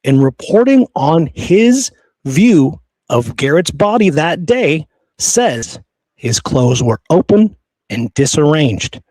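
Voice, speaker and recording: A man's voice: on the high side, very animated, takes it slowly, darkish tone, no echo, poor audio. A man co-hosting a conversational history discussion, repeating points for emphasis. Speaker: podcast co-host. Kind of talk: conversational historical discussion, sometimes reading quotes.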